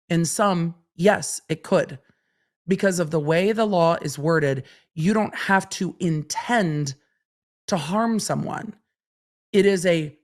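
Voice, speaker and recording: A middle-aged woman talks through a legal case on her own podcast, her voice a touch raspy from long days of streaming. She reads out a statute clause by clause, then poses questions to herself and answers them plainly. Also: fairly low pitch. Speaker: legal commentator and podcast host. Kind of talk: solo legal podcast commentary.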